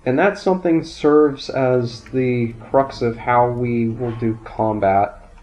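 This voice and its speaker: A man calmly commentating his gameplay, thinking aloud, his voice nasal and raspy from a sinus infection. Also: medium pitch, slow. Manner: calm, explanatory, thinking aloud. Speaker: let's play commentator. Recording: dull lo-fi audio, faint game music.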